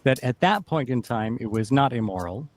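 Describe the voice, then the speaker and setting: A man in a debate posing a formal question, measured and deliberate. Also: medium pitch, lively intonation. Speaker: debate participant.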